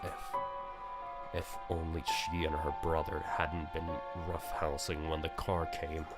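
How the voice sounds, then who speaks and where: A man with a very low voice narrates a visual novel as a dramatic story. He takes his time over each phrase, with prominent music behind him. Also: monotone, unhurried. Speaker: video host reading a visual novel aloud.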